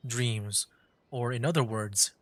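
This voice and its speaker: Male streamer, casual off-the-cuff game commentary, medium pitch, very dry close mic. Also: slow, no room sound.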